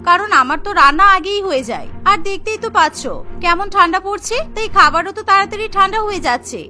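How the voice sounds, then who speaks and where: A woman's voice: very high voice, expressive, fast, sharp tone, heavily acted. A woman voicing a stubborn, defensive young housewife who makes excuses, in a cartoon. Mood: defiant. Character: young village-born wife, stubborn, excuse-making daughter-in-law. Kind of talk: Bengali cartoon voice acting. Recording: music behind the voice.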